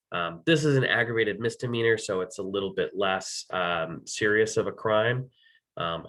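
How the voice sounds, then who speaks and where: Man, American accent, very low pitch, slightly hoarse, halting, filler-heavy, legal presenter. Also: sharp tone, poor audio. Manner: halting, many ums, self-correcting. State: losing his voice. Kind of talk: slide-based legal training.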